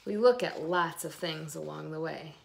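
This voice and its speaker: A middle-aged woman reading a children's picture book aloud in a deliberate storytelling way, recorded on a phone at home. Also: low-pitched, vocal fry. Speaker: read-aloud host. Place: indoors in a room at home.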